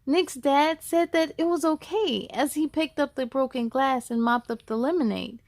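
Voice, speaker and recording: A woman hosting a children's storytime, reading aloud in a cheerful, encouraging and expressive way. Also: sits high, moderate pace, no room sound. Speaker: host of a children's read-aloud show.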